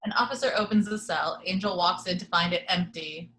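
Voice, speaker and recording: A woman's voice: fairly low pitch, a little fast, sharp tone, slight background noise, low-quality recording. A woman reading screenplay action descriptions as the narrator of an amateur table read.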